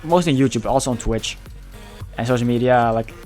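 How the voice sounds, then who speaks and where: A man whose first language is Dutch speaks English with a Dutch accent in casual livestream chat. He talks haltingly, searching for words and often restarting. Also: mid-range voice, a little mellow, faint music behind. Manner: halting, searching for words, many repeated starts.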